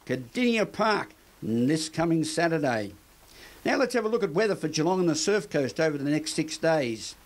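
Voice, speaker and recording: Australian local TV news presenter. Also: male voice, on the high side. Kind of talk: community TV news reading.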